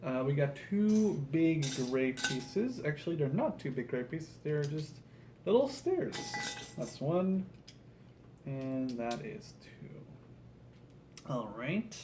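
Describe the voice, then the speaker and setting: A man in his twenties chatting casually as a video host while he builds, rambling, halting and self-deprecating. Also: fairly high pitch, sing-song. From Netherlands. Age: 20s. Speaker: YouTube host. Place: small room at home, indoors at a table. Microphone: camera across the table. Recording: room noise, clicking pieces, slightly distant mic.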